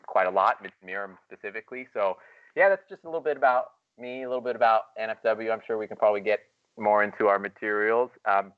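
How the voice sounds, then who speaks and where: A man speaking casually for a startup, rambling with frequent fillers. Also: on the low side. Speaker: startup representative. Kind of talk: recorded video discussion. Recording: dull lo-fi sound.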